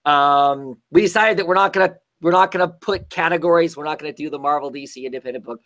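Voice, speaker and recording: A man hosting a casual livestream chat about comics, hoarse and scratchy as he gets over an illness, rambling with many restarts. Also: a little high, fast, a bit bright. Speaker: host.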